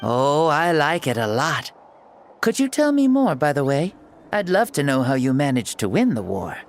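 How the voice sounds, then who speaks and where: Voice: medium pitch, sing-song, moderate pace, slight background noise. An actor playing an ancient hero revived in a boy's body in a video game, breezy and playful, with a wry storytelling manner. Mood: breezy, curious. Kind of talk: dramatic video game voice acting. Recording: game music behind the voice.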